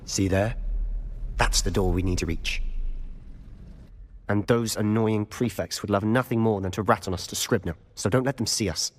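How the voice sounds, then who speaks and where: An actor playing a cocky young wizard student with a smooth, conspiratorial, self-assured manner. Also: male voice, lowish voice, normal speed, pauses often, long reverb tail, close to an everyday voice. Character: cocky teenage wizard student, scheming, confident. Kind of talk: video game cutscene acting.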